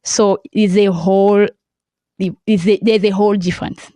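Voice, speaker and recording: A young woman from Cameroon, a French speaker with a Cameroonian accent, talking as a podcast guest in a halting way and laughing often. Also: mid-range voice, fairly even intonation, dark tone, dry recording. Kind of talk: casual interview talk.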